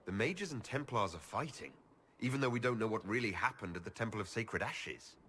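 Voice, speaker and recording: Game protagonist, diplomatic leader. Lowish, expressive voice, level-headed and inquiring. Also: male voice, fairly quick, slight background noise. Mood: composed, questioning. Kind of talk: video game voice acting.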